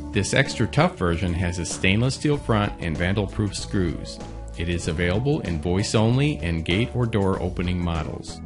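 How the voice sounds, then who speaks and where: Low-pitched man narrating over music. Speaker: narrator of a product video. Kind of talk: scripted promotional narration.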